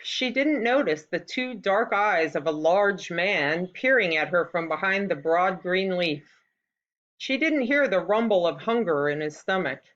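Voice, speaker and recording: A woman reading a folktale aloud to children in an expressive, deliberate storytelling style, on a slightly dull recording. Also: on the low side. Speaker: storybook reader.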